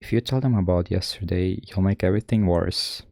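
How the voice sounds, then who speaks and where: A man's voice: low voice, brisk, creaky, dark tone, no room sound, studio quality, faint music behind. A man voicing a blunt, insistent, secretive schoolgirl in a visual novel. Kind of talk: visual novel read-aloud.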